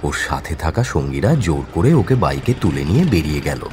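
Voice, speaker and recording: A man's voice: a bass voice, sing-song, brisk, a little mellow, slight background noise. A man narrating a scripted crime audio story in a dramatic storytelling style.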